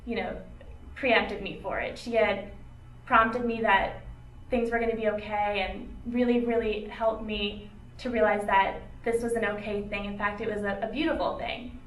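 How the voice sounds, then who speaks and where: A woman slowly and reflectively telling a personal memory. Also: mid-range voice, little pitch movement, a bit bright, reverberant, recorded from a distance, rough recording. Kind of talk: personal interview recollection.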